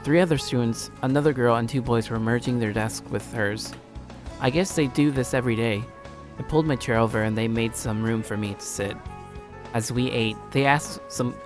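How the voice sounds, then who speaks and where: A man in a casual let's play style, reading a visual novel aloud informally and stumbling now and then. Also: mid-range voice. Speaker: gaming streamer.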